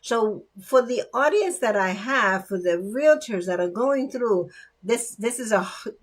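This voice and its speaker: A woman's voice: fairly high pitch, expressive, measured pace. A woman hosting a casual talk show. She speaks directly to her viewers in a chatty, encouraging way. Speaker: podcast host.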